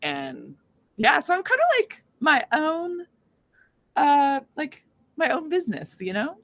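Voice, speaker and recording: A woman speaking casually as an interview guest, an independent musician who tells stories in an animated way and acts out quoted dialogue. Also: sits high, slow pace, no room sound. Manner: animated storytelling, often acting out quoted dialogue.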